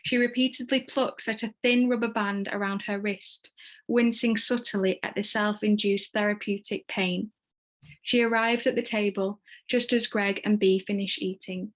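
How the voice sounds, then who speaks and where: A woman reads screenplay stage directions as narrator in a measured, descriptive manner. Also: medium pitch, fairly even intonation.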